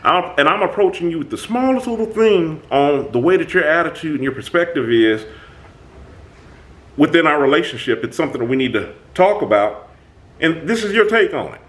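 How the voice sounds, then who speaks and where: A man hosting his own channel, talking through a personal conflict in an emphatic, animated way. His voice is booming, and he often restarts his sentences. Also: fairly quick. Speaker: channel host. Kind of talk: reflective self-talk monologue.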